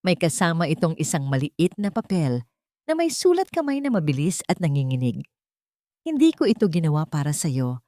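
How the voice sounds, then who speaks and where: Expressive Filipino woman narrator, dry studio sound. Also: low-pitched, dry recording. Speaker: audiobook narrator. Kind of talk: dramatic scripted storytelling narration.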